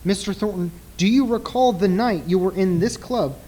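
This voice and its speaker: Casting: man to play solemn nightclub mind reader, radio drama, husky, formal, earnest and urgent. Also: high-pitched, mellow. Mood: grave, insistent. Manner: formal, deliberate. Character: earnest male nightclub mentalist, grave and urgent. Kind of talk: old-time radio play acting.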